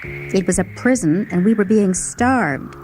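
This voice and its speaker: A woman narrating a first-person short story in a dramatic, expressive, theatrical manner. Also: mid-range voice, measured pace, low-quality recording. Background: music.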